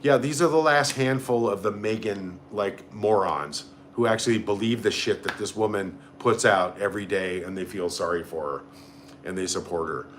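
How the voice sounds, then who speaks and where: A man's voice: on the low side, normal speed, fairly bright tone, slight background noise. A man hosting a casual live stream, reading out comments and reacting in a blunt, sarcastic, sweary way. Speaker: livestream host.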